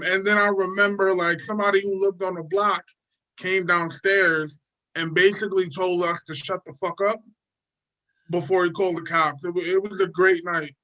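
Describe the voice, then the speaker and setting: Man host, high bright voice, rambling intro. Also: low-quality recording. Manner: rambling, full of fillers. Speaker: open mic host. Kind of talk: casual introduction.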